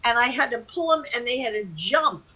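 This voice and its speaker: A middle-aged woman chatting casually and telling personal stories in an animated, colloquial, exclamatory way. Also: fairly high pitch, a little fast, slightly rough, lo-fi sound. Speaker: talk show participant.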